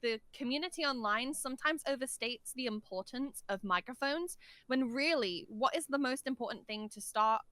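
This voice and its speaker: A woman's voice: high-pitched, lively intonation, normal speed, bright, forceful tone, dry recording. A woman in a casual interview, giving opinionated, emphatic advice as a guest voice actor, recorded at home on a dynamic microphone. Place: large untreated room at home.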